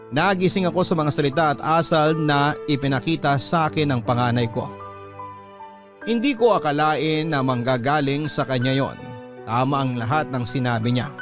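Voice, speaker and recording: A man narrating a dramatic first-person story as a radio host. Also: on the high side. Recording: music under the voice.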